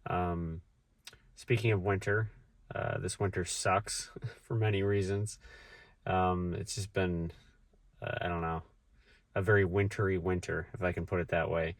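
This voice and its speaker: Guy vlogging with a cold, low voice, dry close sound, rambles with lots of ums. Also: little pitch movement, measured pace, long pauses, no room sound. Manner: rambling, with many fillers and restarts. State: has a cold, voice deeper than usual. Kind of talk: casual vlog talk.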